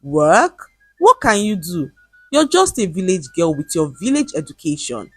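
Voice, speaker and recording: A woman's voice: on the low side, sing-song, unhurried, slightly rough, no echo, soft background music, exaggerated voice. A woman voicing a jealous husband who mocks his wife with contempt. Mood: mocking. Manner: mocking, contemptuous. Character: jealous scornful husband, adult man.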